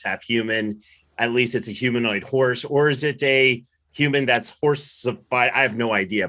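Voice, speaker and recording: Male comic debater, forceful bright tone, mid pitch, punchy mock-serious improvised delivery. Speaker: debate contestant.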